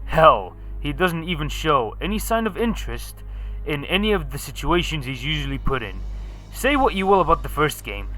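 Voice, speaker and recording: A man's voice: fairly high pitch, very animated, moderate pace, pushed and bright, faint music behind, poor audio. A man narrating a scripted video game review in a sardonic, casual way.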